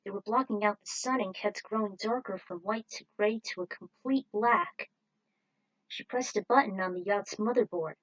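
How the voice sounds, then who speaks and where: A woman's voice: mid-range voice, normal speed, fairly bright tone, no echo, muffled recording. A woman reading a scripted story aloud as its narrator, with the odd stumble over a word. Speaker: narrator reading fan fiction.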